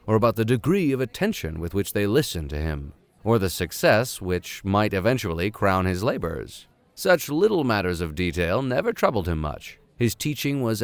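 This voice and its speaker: A man reading classic literature aloud in an expressive, theatrical and playful way. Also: fairly low pitch, no room sound. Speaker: audiobook reader. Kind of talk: expressive narration of classic literature with casual asides.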